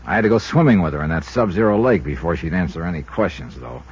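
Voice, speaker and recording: A man's voice: low voice, expressive, quick pace, husky, full character voice. An American man acting a dry, tough insurance investigator who narrates his case in a clipped, wry way, in 1950s radio drama style. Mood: dry, matter-of-fact. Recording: muffled vintage radio recording, music behind.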